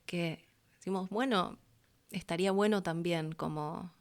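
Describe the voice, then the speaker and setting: A woman with a Rioplatense Argentine accent telling stories casually as an interview guest, expressive and colloquial. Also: on the low side, a little slow. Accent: Rioplatense Argentine Spanish. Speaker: voice actress and announcer. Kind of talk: casual conversational storytelling.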